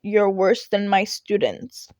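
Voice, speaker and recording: A woman reads as a grumpy man who teaches and has had enough. The delivery is deadpan and curt, a tired audio-story character recorded dry and close. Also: medium pitch, slow, no room sound. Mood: exasperated. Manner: flat, curt, exasperated. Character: weary, grumpy, deadpan adult male teacher. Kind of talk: podfic character voice.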